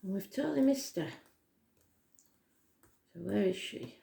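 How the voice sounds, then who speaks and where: A woman with a slightly croaky voice giving a daily tarot reading, talking softly and slowly with many pauses. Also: medium pitch, lively intonation, reverberant. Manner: slow, soft and unhurried, with pauses and fillers. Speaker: tarot reader.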